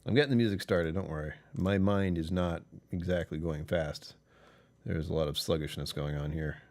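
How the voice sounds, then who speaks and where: Tired male streamer, very low voice, chuckling, rambling casual chat. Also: steady tone, moderate pace, darkish tone. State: tired, voice lower than usual. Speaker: livestream host.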